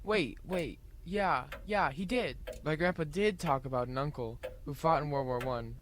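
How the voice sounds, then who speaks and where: A man's voice: a little high, moderate pace, a bit bright, natural voice. A male actor playing a polite, curious schoolboy in an audio drama, earnest and casual with slight hesitation. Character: polite, curious homeschooled schoolboy researching a report. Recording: clearly noisy background with clicks.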